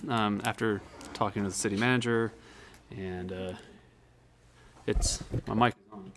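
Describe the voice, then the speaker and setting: A councilman explaining a change of vote in a loud, informal manner. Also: on the low side, steady tone, measured pace, slight background noise. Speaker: council member. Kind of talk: council meeting remarks. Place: council chamber.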